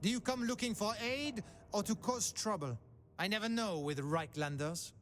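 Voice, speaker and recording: A blunt, suspicious ruler hails envoys in a high-pitched voice. It sounds like a distant clip of fantasy game audio. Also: male voice, moderate pace, fairly bright tone. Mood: wary. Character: wary, blunt foreign leader greeting envoys.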